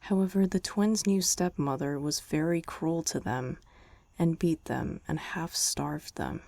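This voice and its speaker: A woman reading a bedtime story as an ASMR girlfriend, soft and chatty with the listener, close to the mic. Her voice is low, dark and gravelly with a dry sound. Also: a little slow, dry recording. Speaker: girlfriend in a roleplay.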